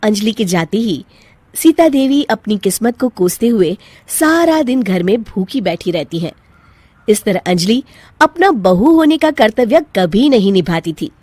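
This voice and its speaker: High-voiced woman narrating a story. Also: darkish tone, slightly rough, no echo. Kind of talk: scripted cartoon story narration.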